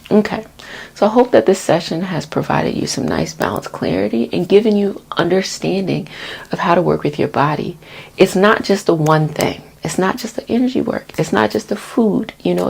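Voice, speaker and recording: A woman hosting a casual self-care healing session, talking in an encouraging, reassuring, conversational way with a husky, creaky voice. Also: on the low side, a little mellow. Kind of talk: casual spoken wellness talk for an ASMR healing session.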